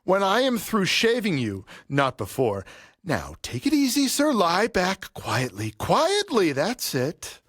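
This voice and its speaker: Menacing, mock-polite barber, swooping pitch. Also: male voice, wildly swooping pitch, fairly bright tone, slightly rough, no room sound, clean studio sound, exaggerated voice. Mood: taunting, controlled. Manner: servile politeness laced with menace, deliberate. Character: vengeful graying male barber, mock-polite, coolly menacing. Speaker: actor. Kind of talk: audio play acting.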